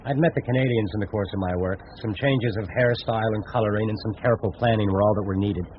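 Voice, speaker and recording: Male actor as sardonic, formal advertising schemer for radio play; muffled, band-limited, low-toned. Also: fairly even intonation, quick pace, a little hiss or hum, close to an everyday voice. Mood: resigned, reflective. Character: smooth, proud, calculating advertising man. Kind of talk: radio drama acting.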